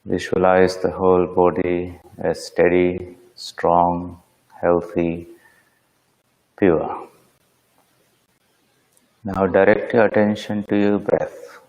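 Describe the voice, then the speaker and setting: A man teaches guided meditation in a low voice at a very unhurried pace. He gives each instruction phrase by phrase, calm and solemn. His tone stays level and steady throughout. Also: muffled recording. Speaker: meditation teacher leading a session.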